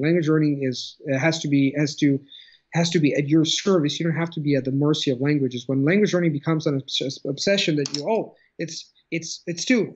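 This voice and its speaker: A man's voice: a little high, slightly rough. A man in his thirties from Italy with an Italian accent, talking as an interview guest over a video call at home, thoughtful and often restarting his phrases. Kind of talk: casual conversation. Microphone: video call webcam. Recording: dull lo-fi video call audio.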